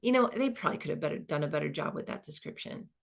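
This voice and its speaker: A woman playing a reflective, earnest professor in her sixties, in a halting, conversational stage monologue. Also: deep voice, lively intonation, gravelly. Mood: earnest, moved. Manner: halting, thoughtful, colloquial. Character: reflective, earnest 64-year-old woman, professor nearing retirement. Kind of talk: dramatized first-person monologue, conversational.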